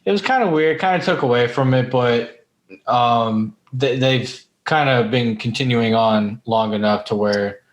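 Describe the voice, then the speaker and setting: Informal dude on a podcast, swears a lot, echoey room. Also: male voice, medium pitch, unhurried. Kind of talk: casual podcast chat. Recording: echoey, roomy sound.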